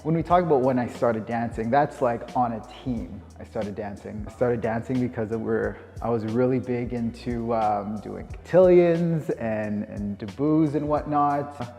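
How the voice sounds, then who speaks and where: Reverberant Canadian man in his thirties, mellow delivery. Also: medium pitch. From Winnipeg, Canada. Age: 30s. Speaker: dance teacher. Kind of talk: informal interview monologue. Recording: long reverb, music underneath. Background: music.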